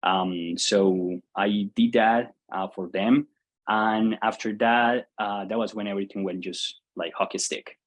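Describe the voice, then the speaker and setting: A man's voice: fairly low pitch, steady tone, measured pace. A man in his thirties from Colombia with a Colombian Spanish accent, answering interview questions casually with many fillers and restarts. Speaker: guest, software entrepreneur.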